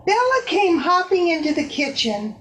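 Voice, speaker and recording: A woman reading a scripted story aloud in readers theater, expressive and measured. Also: very high voice.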